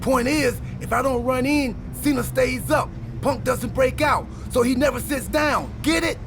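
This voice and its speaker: A high, gravelly, excitable goofball wrestler. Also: male voice, a little slow, music in the background. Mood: excited. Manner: animated, earnest delivery of absurd ideas. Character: excitable, goofy, scatterbrained male pro wrestler with a time machine. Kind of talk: scripted video game cutscene dialogue.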